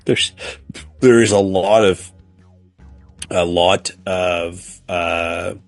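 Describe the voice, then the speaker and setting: A middle-aged man co-hosting a casual podcast, speaking haltingly as he searches for words. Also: low-pitched, steady tone, soft background music. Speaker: podcast co-host. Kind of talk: casual chat about video games.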